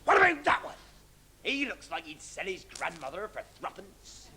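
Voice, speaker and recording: An amateur actor playing a blustering, theatrical pirate captain as a comic pantomime villain, bellowing to the audience on a live stage. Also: male voice, high voice, huge pitch swings, moderate pace, pushed and bright, exaggerated voice. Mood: scheming, blustering. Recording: noisy live stage recording.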